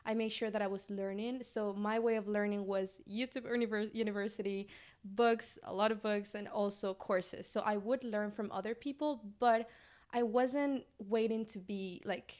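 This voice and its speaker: A woman's voice: medium pitch, steady tone, moderate pace, a little mellow. Podcast guest, woman in her 20s, from Venezuela, earnest and chatty. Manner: earnest, speaks with frequent fillers. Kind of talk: casual interview.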